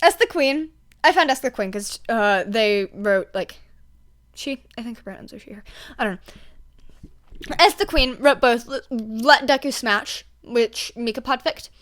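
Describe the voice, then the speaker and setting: A young woman with a high voice rambles in a casual, chatty way. She is excitable and emphatic, peppering her talk with slang and swearing as she addresses her listeners. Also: measured pace. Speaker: podfic reader.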